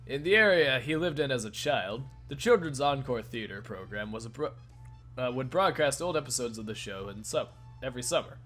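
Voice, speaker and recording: A man's voice: medium pitch, lively intonation, a little fast, fairly bright tone, soft background music. A man narrating a story aloud in an informal cold read, stumbling over words and correcting himself. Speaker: narrator in a fan reading of a visual novel.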